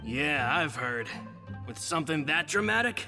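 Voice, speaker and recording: Game henchman, very bright gravelly voice, casual but respectful, swingy pitch, reverb. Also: animated, a little slow, faint music behind, heavily acted. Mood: wry. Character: deferential, world-wise male henchman serving his boss. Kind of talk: video game voice acting.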